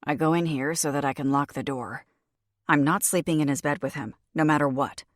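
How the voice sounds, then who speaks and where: A woman's voice: low-pitched, steady tone, a little fast, no room sound, studio quality, natural voice. A woman voicing a defiant, bitter young captive. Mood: sullen. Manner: blunt, scornful, curses.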